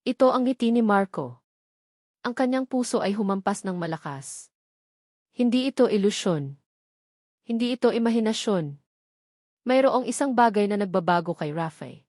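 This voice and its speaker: A woman reading a scripted romance story as narrator, in a measured storytelling delivery. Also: mid-range voice, lively intonation, darkish tone, no room sound, studio quality.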